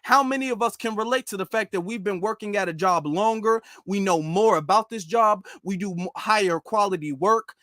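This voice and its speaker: Guy streaming political commentary, high voice, really dry close sound, repeats stuff for emphasis. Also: normal speed, few pauses, pushed and bright, dry recording. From United States. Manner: emphatic, repeats phrases for stress. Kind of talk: informal political commentary to an online audience.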